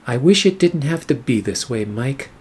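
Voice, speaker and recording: A man's voice: mid-range voice, expressive, moderate pace, darkish tone, breathy edge. A man playing a regretful, corrupt army captain in a noir radio play, apologetic as he confesses. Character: regretful, corrupt army captain betraying his old friend.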